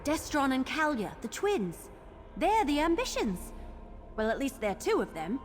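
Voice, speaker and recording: A woman playing a plucky, earnest young lizardfolk investigator in a fantasy video game, brisk and informal. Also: high voice, big pitch swings, in a large hall, slight background noise. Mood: decisive, earnest.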